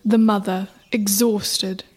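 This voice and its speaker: A woman narrating a scripted folktale as a measured storyteller. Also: mid-range voice, little pitch movement, a little mellow. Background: music.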